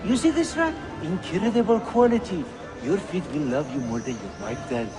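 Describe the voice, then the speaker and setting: A man's voice: high-pitched, lively intonation, normal speed, music in the background. An actor playing an effusive, theatrical Ottoman rug merchant in a video game, haggling dramatically. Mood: wheedling, indignant. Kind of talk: video game character acting.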